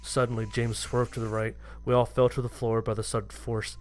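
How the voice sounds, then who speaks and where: A man's voice: mid-range voice, level tone, brisk, very noisy. A man reading a scripted first-person horror story as its narrator.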